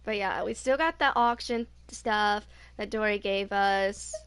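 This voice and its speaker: A woman's voice: mid-range voice, unhurried, smooth, no echo. A woman in her twenties doing a casual game playthrough, reading text aloud and talking to herself unhurriedly. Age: 20s. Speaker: gaming video creator.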